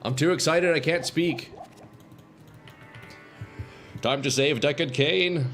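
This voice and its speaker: A man streaming a game live, chatting casually with viewers, swearing and reacting loudly, his voice going a little hoarse. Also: fairly high pitch, slow pace. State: slightly hoarse, losing voice. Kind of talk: casual live-stream chat while playing. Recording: faint game music behind.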